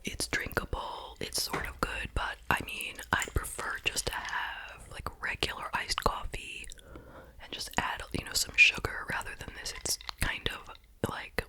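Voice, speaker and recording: Whispering woman, breathy ASMR voice. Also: measured pace. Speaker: host reviewing snacks. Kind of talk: whispered ASMR food review.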